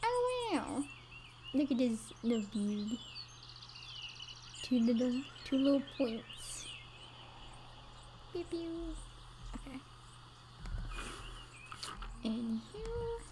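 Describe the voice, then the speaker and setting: A woman's voice: high voice, sing-song, extremely slow, long pauses, noisy background. A woman giving playful, self-mocking commentary while playing a video game at home. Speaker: gaming video creator. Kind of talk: casual let's-play commentary.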